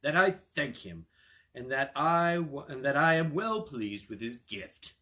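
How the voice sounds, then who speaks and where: A pompous fairy-tale king played by a man speaks slowly and grandly. The delivery is gracious and measured, with a lively rise and fall in pitch. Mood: regal, pleased. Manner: regal, slow and measured.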